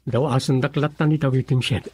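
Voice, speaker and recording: A man's voice: mid-range voice, steady tone, a little fast, warm tone, slightly rough, no echo, natural voice. An actor playing a worried, earnest young man in a radio drama, with a questioning, concerned manner.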